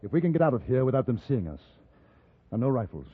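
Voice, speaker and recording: An actor playing a resolute, reserved gentleman on the frontier, measured and understated, in a 1950s radio western. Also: mid-range voice, big pitch swings, slightly rough, no room sound. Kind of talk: 1950s radio western acting with first-person narration. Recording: muffled vintage radio recording with music.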